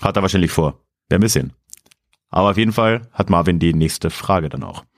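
A man's voice: low-pitched, expressive, a little fast, no echo, clean studio sound. A man hosting a podcast quiz in a casual, chatty way, reading out clues and commenting on the answers. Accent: South Slavic-influenced pronunciation of foreign names. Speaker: quiz host.

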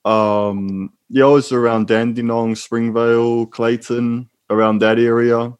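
A man's voice: mid-range voice, level tone, measured pace, clean tone. A man with a New Zealand accent, a former prisoner, talking as a guest in a casual, rambling way. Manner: laid-back, rambling, calls the host bro. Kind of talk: casual interview talk.

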